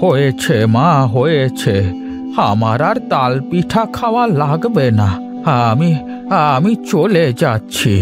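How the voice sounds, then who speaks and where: A man acts out a frail, tender old grandfather from a village fairy-tale cartoon. He speaks in a halting, folksy way with sighs and a lively rise and fall. Faint music plays behind him. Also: on the high side. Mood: hurt.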